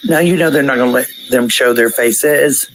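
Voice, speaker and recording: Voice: medium pitch, moderate pace, no room sound, rough recording. A person ranting casually in a blunt, coarse, profane way, heard on a played-back voice clip.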